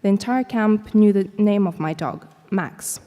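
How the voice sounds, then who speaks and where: A woman, a student, reading a first-person prose text aloud to an audience at a steady, measured pace, recorded in a lecture hall. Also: medium pitch.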